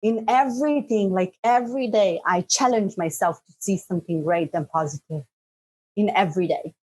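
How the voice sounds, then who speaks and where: A woman's voice: mid-range voice, normal speed, low-quality recording. Chatty woman guest, born in Slovenia. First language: Bosnian. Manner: lively, frequent "like" and "you know" fillers, laughs often. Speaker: podcast guest. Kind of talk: casual interview talk.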